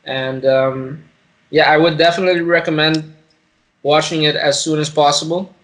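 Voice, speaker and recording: A man co-hosting a podcast, giving a casual film opinion in a halting way, often repeating words and phrases. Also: a little high, level tone, fairly bright tone, rough recording. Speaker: podcast co-host. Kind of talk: casual movie review chat.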